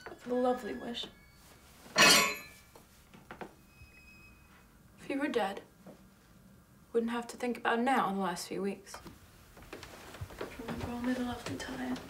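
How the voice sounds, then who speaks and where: An actress playing an anxious young woman waiting for a call, pleading and swinging between hushed whispers and outbursts, over background music. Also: fairly high pitch, fairly even intonation, fairly quick, pauses often, lightly acted. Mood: bitter brooding. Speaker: actress performing a monologue. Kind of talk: dramatic monologue.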